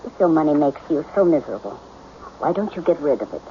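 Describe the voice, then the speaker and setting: Old radio drama: measured, questioning doctor, slightly high soft-toned voice, dull broadcast sound. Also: male voice, big pitch swings, a little hiss or hum. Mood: patient, probing. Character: patient, probing male doctor counselling a patient.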